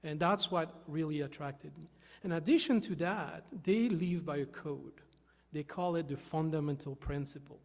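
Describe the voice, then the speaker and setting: A man from Haiti, giving an earnest, halting stage talk with many fillers. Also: fairly high pitch, gravelly. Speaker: volunteer speaker. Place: hall with an audience. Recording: long reverb of a large hall.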